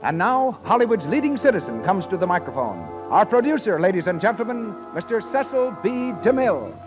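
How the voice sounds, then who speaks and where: High, animated 1930s American radio announcer man. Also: fairly quick. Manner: formal, declamatory. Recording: dull 1930s radio broadcast with music.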